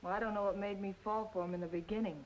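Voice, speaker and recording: A woman's voice: mid-range voice, fairly even intonation, very fast, dull audio, a little hiss or hum, natural voice. An actress playing a bitter, proud, world-weary woman in an old film noir radio drama, cool, terse and cutting. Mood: bitter, resigned.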